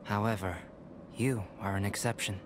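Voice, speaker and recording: A man playing a composed, cerebral young alchemist in a video game, speaking in a measured, formal, detached way. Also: lowish voice, steady tone, heavy background noise, close to an everyday voice. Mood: matter-of-fact.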